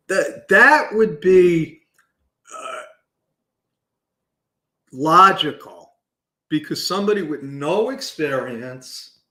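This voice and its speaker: Loud, passionate man in an echoey room. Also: fairly high pitch. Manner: loud, passionate, emphatic, with many restarts. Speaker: business show host. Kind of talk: unscripted talk to camera.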